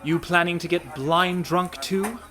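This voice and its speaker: A man's voice: high-pitched, a little slow, sharp tone. An actor in a comic radio play, playing a sardonic, fretful con man posing as a pirate merchant. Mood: glum. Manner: dry, exasperated, worrying.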